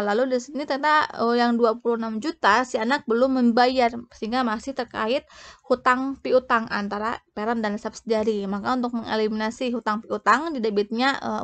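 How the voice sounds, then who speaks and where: Young woman, kinda high voice, walking thru a tutorial, stops and restarts a lot. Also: no room sound. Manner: halting, frequently breaking off and restarting. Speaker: accounting tutor presenting. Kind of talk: explanatory tutorial narration.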